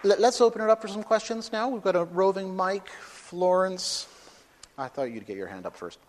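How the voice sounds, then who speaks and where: A man's voice: sits high, lively intonation, normal speed, crowd noise. Emphatic male lecturer in a reverberant hall. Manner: emphatic, forceful, builds to loud peaks. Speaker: speaker at a talk. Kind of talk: public lecture with questions.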